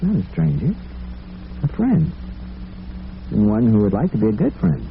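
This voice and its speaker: Kind courteous bachelor in old radio play, lowish voice, very expressive, tinny recording. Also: male voice, vocal fry, a little hiss or hum, heavily acted. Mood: tender, earnest. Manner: earnest, courteous, reassuring. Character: kind, earnest bachelor wooing a young woman. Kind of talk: old-time radio drama acting.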